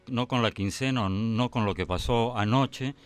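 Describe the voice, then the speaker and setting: El conductor de un programa de debate político, que conversa, interrumpe y bromea con su invitado. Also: male voice, medium pitch, normal speed, smooth, faint music behind. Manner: conversational, interjecting, joking banter. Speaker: talk-show host. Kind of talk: political talk-show discussion.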